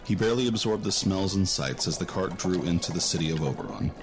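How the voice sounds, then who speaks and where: A male narrator reads a scripted fantasy audio drama. His voice is low-pitched, with quiet music playing underneath. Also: animated, fast talker.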